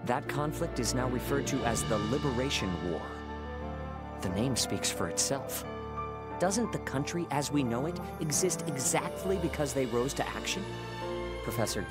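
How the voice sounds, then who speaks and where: A man's voice: medium pitch, lightly acted. An actor playing an earnest, idealistic young male scholar in a game cutscene, speaking formally and insistently. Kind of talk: dubbed video game cutscene acting. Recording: music behind the voice.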